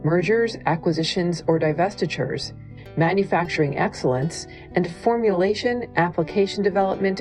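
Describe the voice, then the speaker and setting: Deep-voiced woman announcer over music. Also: darkish tone. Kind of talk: scripted promotional narration.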